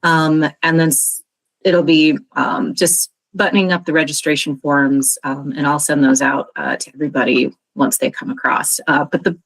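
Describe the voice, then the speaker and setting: A woman's voice: sits low, fairly even intonation, moderate pace, creaky, breathy edge, no echo. A woman giving friendly meeting updates over a call, with many fillers. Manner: friendly, filler-heavy listing of events.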